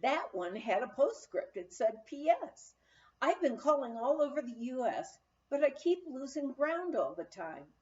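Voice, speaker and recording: Expressive, high-voiced woman reading a memoir. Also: measured pace, highs cut off. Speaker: audiobook reader.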